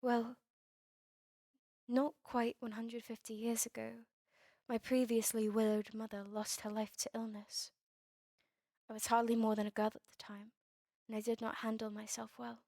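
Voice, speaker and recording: A woman playing a melancholy, courteous vampire in an ASMR roleplay drama, with formal, old-fashioned, measured phrasing. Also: fairly high pitch, level tone, warm tone, no echo, studio quality. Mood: sorrowful. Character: melancholy, courteous female vampire, turned young over a century ago.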